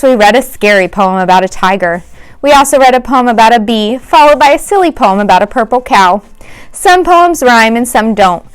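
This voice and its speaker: A woman reads a children's book aloud like a teacher at storytime. Her voice sits at a middle pitch, and her delivery is expressive. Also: clear, resonant tone. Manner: expressive, acts out dialogue.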